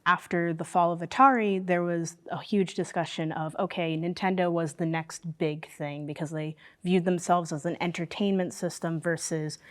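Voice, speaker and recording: Woman w pretty low voice, chatting on a gamer panel, explaining stuff. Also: fairly even intonation, normal speed, few pauses. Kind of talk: conversational discussion.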